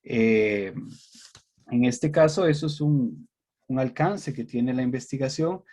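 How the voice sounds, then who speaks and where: A Costa Rican man, a guest researcher, answering questions in a measured academic way with many pauses and fillers. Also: medium pitch, a little mellow. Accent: Costa Rican Spanish. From Costa Rica.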